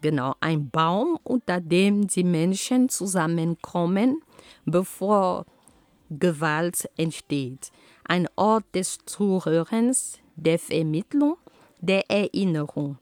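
Slow Cameroonian woman, low and dry. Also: animated, a little mellow, no room sound. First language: Homala. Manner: slow and deliberate, with frequent fillers and self-corrections. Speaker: speaker on peace and culture. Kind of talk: prepared talk.

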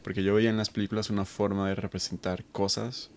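A Colombian-born man in his thirties, a researcher answering interview questions in a thoughtful, halting way with many fillers. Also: on the low side, flat delivery, slight background noise. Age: 30s. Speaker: guest researcher. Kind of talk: conversational interview.

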